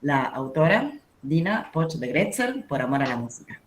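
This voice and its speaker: A woman's voice: sits low, sing-song, moderate pace, a little hiss or hum. A woman presents a speaker in an informal spoken introduction.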